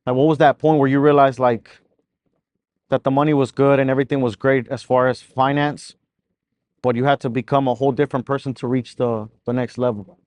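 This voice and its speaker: Chill guy hosting a podcast, middle pitch, asks stuff casually, dry close mic. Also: little pitch movement, brisk, darkish tone, dry recording. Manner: laid back, chatty, asks questions. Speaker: podcast host.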